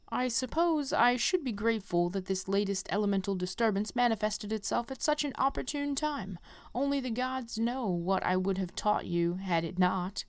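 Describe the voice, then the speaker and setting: A woman reads out a grave teacher of magic in a fantasy game. The delivery is formal, measured and wary, mid-pitched, with a very dry sound. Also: no echo, natural voice. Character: grave, wary conjurer teacher bidding farewell. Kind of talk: reading game quest dialogue.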